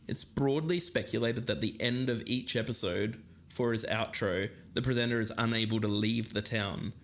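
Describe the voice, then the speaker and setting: A man's voice: medium pitch, normal speed, fairly bright tone. An Australian man co-hosting a podcast in a conversational style. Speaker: podcast co-host.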